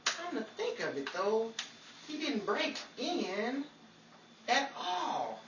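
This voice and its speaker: Actor as excitable 1940s comedy man, noisy distant recording. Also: extremely high pitch, takes it slowly, exaggerated voice. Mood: indignant. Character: Henry, excitable, put-upon comic man in 1940s Hollywood. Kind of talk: hammy 1940s radio comedy acting. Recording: roomy, distant mic, very noisy with crowd.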